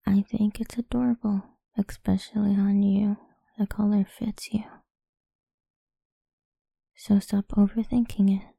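A woman speaking in a soft, slow, coaxing whisper for a close-mic ASMR roleplay. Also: mid-range voice, level tone, gravelly, husky, no room sound.

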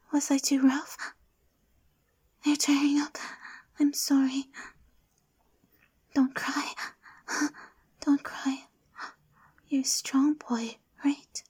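A woman's voice: high voice, darkish tone. A woman playing a domineering, possessive lover in an intimate audio roleplay, whispery and commanding. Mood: coaxing. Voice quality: breathy, whispery. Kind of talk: adult ASMR audio roleplay.

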